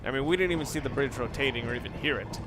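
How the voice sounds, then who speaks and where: A man's voice: medium pitch, rapid-fire, noisy background. A man giving casual, rambling video game commentary, talking through his reasoning aloud as he plays. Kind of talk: casual let's play commentary.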